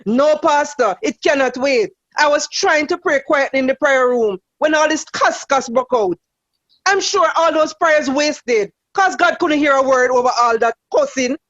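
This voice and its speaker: An actress playing a nosy, indignant, pious church sister in a radio drama. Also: female voice, sits high, normal speed, a bit bright, lo-fi sound.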